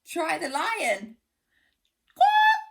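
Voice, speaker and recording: A woman's voice: very high voice, wildly swooping pitch, extremely slow, vocal fry, a little hiss or hum, voice pushed up, full character voice. A woman voicing a flock of squawking rainbow birds in a storybook, with screeching calls.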